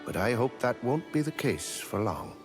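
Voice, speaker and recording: An actor playing a genteel, devoted manservant in a fantasy game, with formal, courtly, theatrical phrasing. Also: male voice, mid-range voice, big pitch swings, normal speed, over music, close to an everyday voice. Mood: courteous, welcoming. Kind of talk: video game character dialogue.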